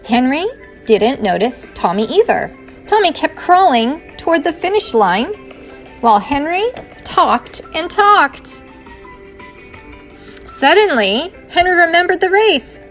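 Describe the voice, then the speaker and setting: A woman reads a children's story aloud like a teacher. Her voice is fairly high and very varied in melody, with faint music behind it. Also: very animated, slow pace.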